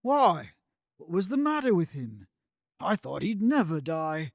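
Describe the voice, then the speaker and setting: A man plays a gossiping, self-serving merchant in a radio drama with animated theatrical delivery. His voice is high for a man, crackles with fry and swings widely in pitch. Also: measured pace, no echo, studio quality. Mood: flippant.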